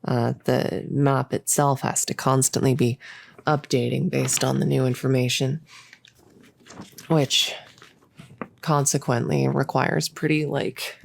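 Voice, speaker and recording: A very low, creaky-voiced young cultist played by a woman in an intimate audio roleplay. She is bossy and possessive, with a giddy, playful smugness, and rambles casually as if thinking aloud. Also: little pitch movement, slow pace, long pauses, slight background noise, exaggerated voice. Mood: rambling, smug explaining. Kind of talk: intimate roleplay audio, improvised-sounding monologue.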